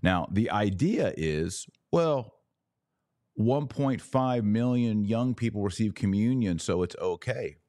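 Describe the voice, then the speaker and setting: A man with a low-pitched voice delivers opinionated religious commentary. He takes his time, setting phrases apart and leaning on key words. The sound is close and dry. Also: animated, mellow, dry recording. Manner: emphatic, deliberate phrasing with pauses and stressed key words. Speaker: podcast host, religious commentator.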